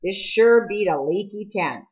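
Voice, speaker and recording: A woman voicing an earnest, patient teenage boy in a novel, calm and conciliatory. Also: medium pitch, measured pace, lo-fi sound, voice pushed up, lightly acted. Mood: friendly, earnest. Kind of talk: audiobook character dialogue.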